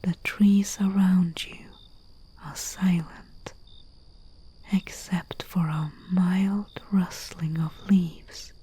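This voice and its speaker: A humble herbalist, acted by a woman, whispers soothingly in an ASMR roleplay. The delivery is extremely slow and very even, with long pauses. Faint night crickets chirp behind the soft, breathy voice. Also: fairly low pitch, a little hiss or hum. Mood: hushed, soothing. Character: humble female herbalist, soothing and deferential servant to nobility. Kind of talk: soft-spoken ASMR roleplay, guided relaxation. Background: crickets and night insect ambience.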